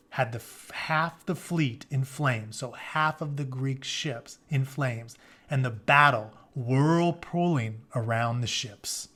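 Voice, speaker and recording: Higher-pitched man reading aloud as a teacher. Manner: animated storyteller, colloquial asides. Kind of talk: dramatic read-aloud with casual explanatory asides.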